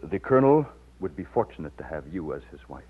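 An actor in an old radio drama playing an earnest, loyal, plain-spoken overseer from Texas. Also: male voice, low-pitched, very animated, fairly quick, slightly rough, heavily acted. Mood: eager, courteous. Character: earnest, loyal Texan plantation overseer and war veteran. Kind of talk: old-time radio drama acting with narration. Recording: muffled lo-fi old radio recording.

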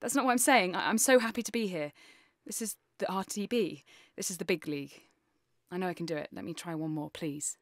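An actress playing an anxious, eager-to-please voice artist in an audio drama, hesitant and apologetic. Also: female voice, lowish voice, fairly quick, dry recording, clean studio sound, lightly acted. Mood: anxious, pleading.

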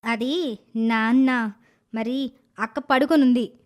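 A woman voicing a spiteful, scheming young village girl in an animated moral story, with a whiny, dramatic delivery. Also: a little high, slow. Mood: evasive.

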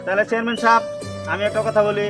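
A man with a Bangladeshi accent playing a hesitant village arbiter in a family drama, speaking haltingly. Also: high-pitched, flat delivery, lightly acted. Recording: background music over dialogue.

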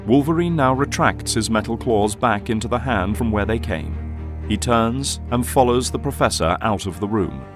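A man's voice: fairly low pitch, lively intonation, normal speed, music in the background. A man giving dramatic scripted narration as the narrator of a story.

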